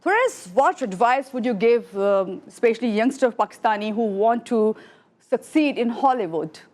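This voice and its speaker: A woman's voice: a little high, animated, normal speed, darkish tone. A woman hosting a morning show, asking interview questions with frequent hesitations and fillers.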